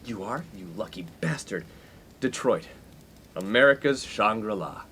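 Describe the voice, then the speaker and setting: A man's voice: fairly low pitch, lively intonation, unhurried, a bit bright, a little hiss or hum. An actor playing a pompous, skirt-chasing young college man in a 1950s comedic radio play, given to grandiose, wordy speeches. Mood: earnest.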